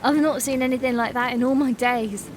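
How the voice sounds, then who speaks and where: A woman playing a frightened but plucky young female halfling prisoner in a fantasy audiobook, talking in a folksy, halting way. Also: high voice, fairly even intonation, background noise, lightly acted. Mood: astonished. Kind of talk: dramatized fantasy audiobook acting. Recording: music and rain sounds behind.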